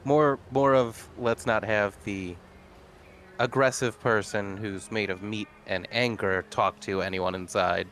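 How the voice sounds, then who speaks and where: A man's voice: fairly low pitch, steady tone, a little slow, fairly bright tone, a little hiss or hum. A man playing a tabletop roleplaying game, talking casually in character with a dry, wry manner.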